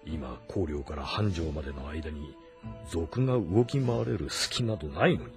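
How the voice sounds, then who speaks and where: A man's voice: rumbling low, expressive, normal speed. A man playing a proud, stern middle-aged general in a grave, disapproving inner monologue from a historical drama. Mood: stern exasperation. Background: background music.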